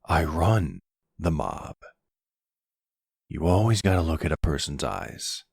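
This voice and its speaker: A male actor plays an older mafia boss for an intimate roleplay audio drama. The voice is deep and husky with a slow, measured pace. He sounds calm, devoted and affectionate. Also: no room sound, clean studio sound, exaggerated voice. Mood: tender, relaxed. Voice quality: low, gravelly.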